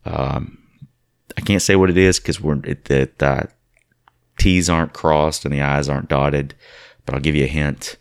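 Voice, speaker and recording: A very low-voiced man hosts a casual radio show. He rattles off upcoming events in chatty promo patter, and his voice sits close and dry in the studio mic. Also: fairly even intonation, gravelly, smooth, no room sound. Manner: upbeat promo patter, halting when asking questions.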